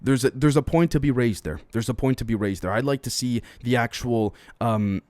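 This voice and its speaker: A mid-pitched man talks his way through the day's headlines in a loose, conversational style, weighing in with his own opinions and speculation. He keeps turning to address his listeners directly. The recording is close and dry, with little room sound. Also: fairly quick, darkish tone, no echo. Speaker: podcast host. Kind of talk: solo news commentary podcast.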